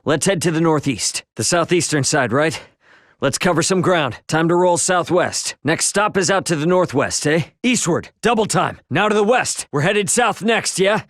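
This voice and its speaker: An actor with an American accent playing a brash, easygoing spacefaring hero in a video game, with a cocky, slangy, joking delivery. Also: male voice, a little high, animated, moderate pace, fairly bright tone, no room sound, studio quality. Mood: brisk, determined. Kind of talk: video game voice lines, battle barks and chatter.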